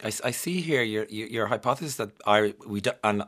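An Irish man hosts a podcast interview, keen and quick to laugh, with a voice on the low side. He reacts eagerly and sums up his guest's points with gusto. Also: a bit bright. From Ireland. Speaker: host. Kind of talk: interview conversation.

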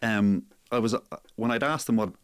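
A man's voice: lowish voice, normal speed, clear, resonant tone, dry recording, studio quality. A man, a sports journalist and author, answering interview questions thoughtfully with plenty of hedging fillers. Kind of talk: radio interview guest.

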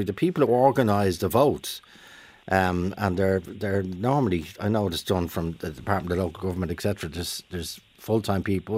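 An Irish man hosting a radio phone-in, chatty and quick with questions for callers, with jokey asides. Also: fairly low pitch, a little mellow. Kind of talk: call-in radio chat.

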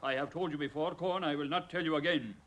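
A man's voice: a little high, fast, fairly bright tone, lightly acted. A man, playing a stern, nagging boss in a vintage radio drama. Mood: scolding. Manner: scolding, clipped and authoritative.

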